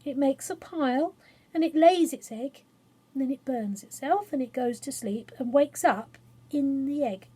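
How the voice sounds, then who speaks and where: A woman's voice: sits high, unhurried, mellow, pitched above the performer's own voice. A woman voicing a proud, grave magical bird in a children's storybook, speaking in a stately way. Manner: grave, stately, self-important.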